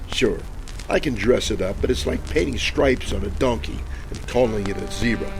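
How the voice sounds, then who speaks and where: A man's voice: medium pitch, creaky, natural voice, poor audio. A man playing a hard-luck, bumbling tough-guy detective with a wry, hard-boiled delivery in an old-time radio detective style. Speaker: narrator and lead character. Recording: music under the voice.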